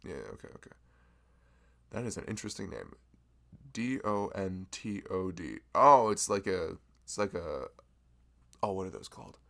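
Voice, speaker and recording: A man plays a friendly young florist in an ASMR roleplay, who chats with a customer in a low, gravelly voice. His pitch swings widely as he rambles, laughs often and pokes fun at himself. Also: animated, moderate pace, long pauses, bright, forceful tone, exaggerated voice. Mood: chatty. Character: chatty nerdy young male florist, friendly.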